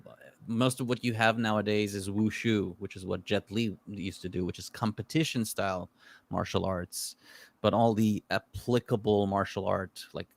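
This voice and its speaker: Low-voiced Hebrew-native man chatting. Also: creaky, no echo. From Israel. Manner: relaxed, rambling storyteller with frequent fillers. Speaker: guest. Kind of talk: casual chat.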